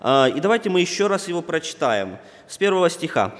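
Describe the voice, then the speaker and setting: A man with a slightly hoarse voice from a cold, leading an informal Bible study in a halting way, with many restarts and filler sounds. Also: a little high, big pitch swings, in a large hall.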